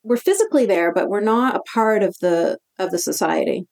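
A woman's voice: mid-range voice, animated, a little mellow, faint music behind. Canadian-accented woman guest, emphatic storyteller. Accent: Canadian English. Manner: emphatic, fast-flowing storytelling. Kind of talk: conversational interview.

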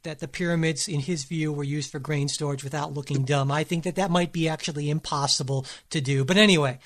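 A man's voice: fairly high pitch, fairly even intonation, fairly quick, a bit bright. A man co-hosting a political discussion, explaining his opinions in a casual, conversational way. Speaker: political podcast co-host.

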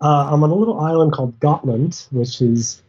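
A relaxed young man from the United States, talking over a remote call as a guest with easy hesitations. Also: on the high side, moderate pace, highs cut off. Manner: relaxed, easygoing, some hesitations. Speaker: guest, sailor. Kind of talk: casual interview chat. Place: at home.

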